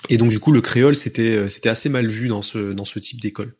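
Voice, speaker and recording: A man's voice: lowish voice, quick pace, a little mellow, husky. A man leading a casual discussion, asking questions hesitantly with frequent restarts. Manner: hesitant, many restarts and fillers. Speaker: discussion host.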